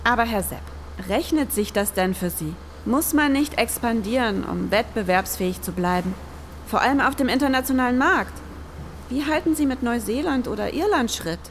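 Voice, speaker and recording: Audio drama detective, female actor: higher-pitched, earnest, questioning, quick to suspect. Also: expressive, slight background noise. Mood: probing. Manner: probing, questioning. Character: earnest, suspicious female investigator named Anna.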